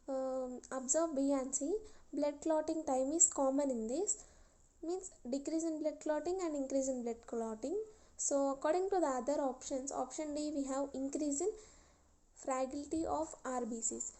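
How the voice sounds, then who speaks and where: A young woman talks her viewers through test questions in a casual tutorial. Her voice sits high yet sounds soft and mellow rather than bright. She explains one step at a time, slowly and patiently. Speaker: YouTuber sharing exam tips.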